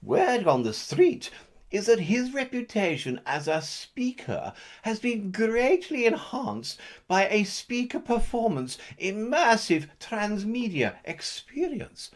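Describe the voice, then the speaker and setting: A woman's voice: medium pitch, very animated, slow pace. A woman playing a self-important news anchor, reading a mock bulletin in a formal manner and tripping over her words. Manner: formal newsreader delivery that stumbles over words.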